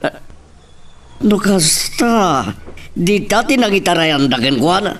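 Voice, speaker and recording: A man playing a gruff, evasive fellow in an Ilocano audio drama, with an Ilocano accent. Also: high voice, lively intonation, takes it slowly. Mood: brusque, uneasy. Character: Placido, gruff, evasive man bearing bad news. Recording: slightly distant mic.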